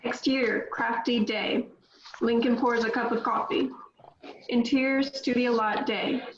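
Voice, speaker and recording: A woman's voice: a little high, fairly even intonation, a little slow, slight background noise. Woman reading stage directions, echoey. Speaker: script reader. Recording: echoey room, dull lo-fi sound.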